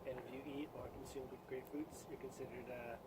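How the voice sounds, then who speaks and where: A man's voice: mid-range voice, level tone, quick pace. A man co-hosting a comedy show in casual, crude and irreverent joking banter. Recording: noisy amateur recording.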